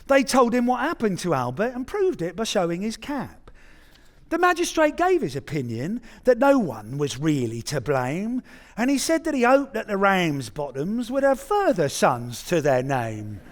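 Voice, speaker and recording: A man's voice: sits high, wildly swooping pitch, normal speed. A man reciting a comic narrative poem on stage with theatrical storytelling delivery. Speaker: actor reciting poetry.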